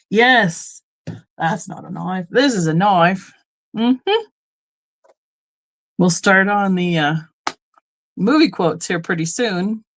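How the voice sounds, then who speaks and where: A middle-aged woman from Colorado hosting a live online sale, chatting casually and rambling into asides with frequent ums. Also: medium pitch. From Colorado, United States. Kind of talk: casual live-stream selling chat.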